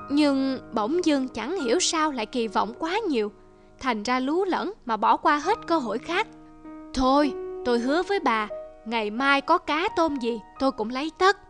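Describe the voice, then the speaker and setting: A woman voicing a poor, wishful old fisherman in a cartoon folk tale, grumbling and plaintive. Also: high-pitched. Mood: rueful. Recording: music under the voice.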